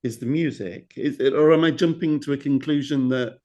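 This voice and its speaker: A man's voice: mid-range voice, a little fast, warm tone, muffled recording. A male podcast host asks long, winding questions. He circles back on himself and often repeats words as he works toward his point. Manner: rambling, repeats words, many restarts. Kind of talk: podcast interview.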